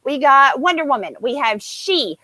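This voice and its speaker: High, bright-voiced woman, emphatic and sweary. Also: very animated, normal speed, dry recording. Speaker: podcast co-host. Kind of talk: casual opinionated panel banter.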